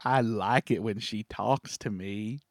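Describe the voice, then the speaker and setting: A man voicing a naive, slow-witted, gentle male turkey in an animal story, simple and earnest. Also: on the low side, no room sound, studio quality. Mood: bashful, earnest.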